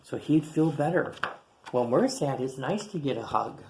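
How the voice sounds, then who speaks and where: A man who teaches young children, reading a picture book aloud in a playful, animated way with gasps and chatty asides. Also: medium pitch, moderate pace, slight background noise, poor audio. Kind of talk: storybook read-aloud for children.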